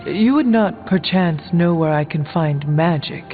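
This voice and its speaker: A woman's voice: on the low side, lively intonation, measured pace, soft background music. A woman playing an earnest warrior from another time in a video game, formal and literal in manner. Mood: earnest, puzzled. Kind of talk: video game dialogue acting. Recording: thin, muffled game audio.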